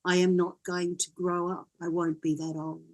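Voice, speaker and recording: An older woman from Wales, a guest in an interview, telling her story in a gentle, reflective way. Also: on the low side, level tone, moderate pace. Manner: gentle, reflective, laughs often.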